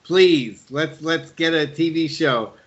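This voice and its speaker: A man's voice: fairly high pitch, slow, a bit bright. A man hosting a podcast interview in a casual, conversational way. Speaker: podcast host. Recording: dull lo-fi call audio.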